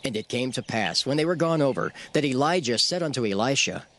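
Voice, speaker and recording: A man's voice: medium pitch, animated, fairly quick, a bit bright. A man narrating dramatized scripture, telling the archaic text as formal storytelling.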